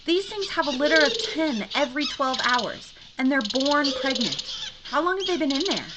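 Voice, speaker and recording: A woman's voice: sits high, big pitch swings, moderate pace, dull audio. A woman playing a perky, blunt, trigger-happy young space adventurer in a casual sci-fi audio comedy. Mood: surprised. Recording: loud background noise with clicks.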